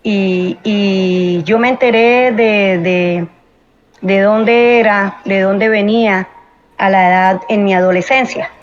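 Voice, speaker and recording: A woman's voice: medium pitch, steady tone, takes it slowly, lo-fi sound. A woman in her forties from Venezuela, speaking as an interview guest in a hesitant, thoughtful way with many fillers. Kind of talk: personal interview.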